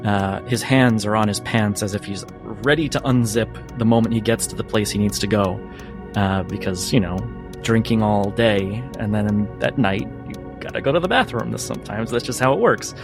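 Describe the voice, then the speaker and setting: A man narrating a tabletop role-playing game as game master, in deliberate, broken-up phrases. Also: on the low side, over music.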